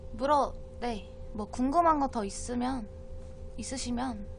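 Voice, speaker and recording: A young woman with a voice hoarse from a cold, talking softly and hesitantly to viewers on a live stream. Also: fairly high pitch, unhurried, a little hiss or hum. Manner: hesitant, soft-spoken, trailing off.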